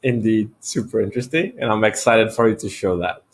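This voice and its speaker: Husky low-voiced man, Portuguese native. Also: fast. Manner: brief, affirming interjections. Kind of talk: casual tutorial chat.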